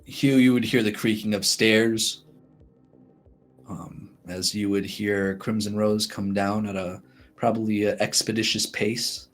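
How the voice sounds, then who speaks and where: A man narrating a tabletop game as game master in a relaxed, hesitant way. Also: on the low side, measured pace. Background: faint music.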